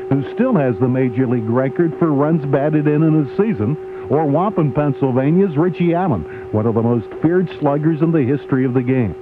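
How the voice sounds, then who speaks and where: A man reading a scripted sports commentary on the radio with measured, emphatic announcer delivery. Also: on the high side. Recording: tinny AM radio aircheck, faint hum.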